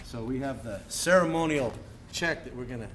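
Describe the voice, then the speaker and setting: A man's voice: medium pitch, moderate pace, background noise. Earnest middle-aged man at an outdoor podium. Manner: earnest, loosely improvised with fillers. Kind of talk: ceremony remarks. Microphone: podium mic. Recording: echoey outdoor PA with crowd.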